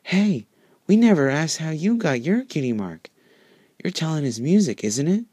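A man's voice: on the high side, sing-song, moderate pace, dark tone, breathy edge, no room sound, clean studio sound, voice pushed up. A man voicing young, curious pony fillies in a chirpy, eager manner for a story reading. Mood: excited, curious.

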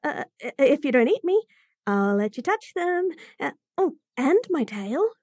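A woman's voice: big pitch swings, measured pace, darkish tone, no room sound, clean studio sound. Woman voicing a stammering flustered bunny girl. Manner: stammering, squeaky, nervous giggles. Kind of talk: roleplay audio drama.

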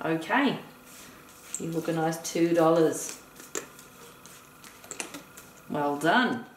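A woman's voice: low-pitched, expressive, drawn-out words. Woman in a roomy, distant recording. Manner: explains step by step, counting aloud. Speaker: teacher demonstrating a board game. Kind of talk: instructional game play-through talk, addressing a partner. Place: indoor room, game on a table. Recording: roomy, distant mic with noise.

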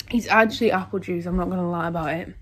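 A woman's voice: lowish voice, husky. An eighteen-year-old woman, chatting casually and giggling easily as she vlogs. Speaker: vlogger. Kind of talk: casual vlog chat. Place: holiday lodge rooms and outdoor hot tub. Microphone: phone at short distance. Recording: noisy handheld home video.

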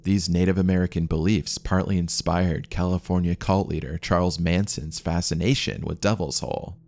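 A man with a very low voice reads a scripted documentary narration. He keeps a measured, steady storytelling pace throughout. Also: dry recording.

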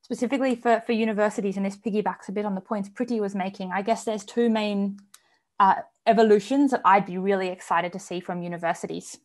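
A woman's voice: medium pitch, steady tone. Earnest Australian woman panelist, thirties, talking over a video call from home. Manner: earnest, fluent, measured with some restarts. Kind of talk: online panel discussion. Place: home room with bookshelves. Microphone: video call, earphones.

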